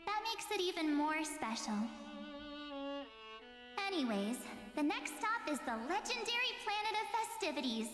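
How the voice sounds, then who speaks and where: Bubbly high girl adventurer, video game story, kinda boastful but sentimental, music behind. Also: sing-song, normal speed, in a large hall. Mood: cheerful, boastful. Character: perky, boastful, sentimental young woman adventurer who loves photography. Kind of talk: scripted video game story narration and dialogue. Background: game music with violin.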